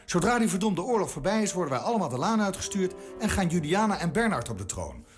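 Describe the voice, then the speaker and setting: An excitable, loyal male minister, talks quickly in a high, lively voice. He sounds earnest and defensive, and he stumbles now and then. Faint music sits under him. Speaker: cabinet minister loyal to the crown. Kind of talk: radio drama acting.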